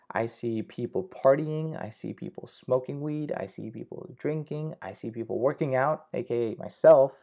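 A man's voice: mid-range voice, warm tone. A young man hosting a casual solo podcast, rambling with frequent swearing and restarts. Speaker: podcast host. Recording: slightly dull lo-fi audio.

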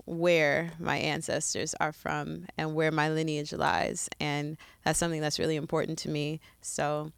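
A woman's voice: sits low, flat delivery, measured pace, vocal fry, hardly any pauses, no room sound, clean studio sound. A woman co-hosting a podcast in casual chat, speaking in an earnest, open way. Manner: earnest and open, laughs readily. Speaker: podcast co-host.

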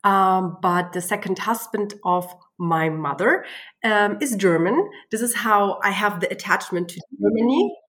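A woman's voice: mid-range voice, a little slow, a bit bright. Woman in her thirties, hesitant and laughing. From Spain. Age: 30s. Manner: hesitant, many fillers, laughs often. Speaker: financial planner, guest. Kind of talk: interview guest telling her story.

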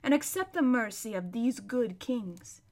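A woman voicing an immense, solemn male lion in a storybook, merciful and quietly commanding, giving grave, deliberate warnings. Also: fairly high pitch, animated. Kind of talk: storybook read-aloud.

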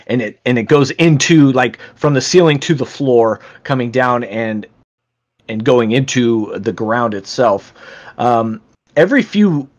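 A man narrating a tabletop game as game master, describing scenes with frequent fillers and restarts. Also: medium pitch.

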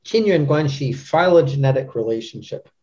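Male podcast guest, middle-aged, medium pitch, casual and searching delivery with frequent repetitions, slightly dull low-fidelity sound. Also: expressive, warm tone. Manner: halting, thinking aloud, repeats words. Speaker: podcast guest, literary translator. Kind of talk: casual interview chat. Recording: dull, lo-fi audio.

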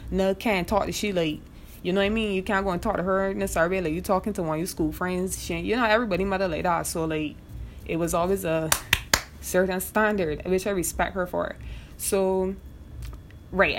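A woman's voice: fairly low pitch, creaky, a little hiss or hum. A young woman with a Barbadian accent hosting a podcast, talking frankly and casually, swearing freely and often asking "you know what I mean.". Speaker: podcast host. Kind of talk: casual monologue.

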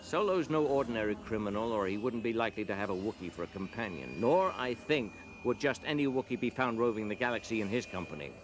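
An older man playing a wise, watchful mentor in a radio drama, speaking formally and with measured calm. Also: on the low side, slight background noise, close to an everyday voice. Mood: musing. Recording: radio drama with music behind.

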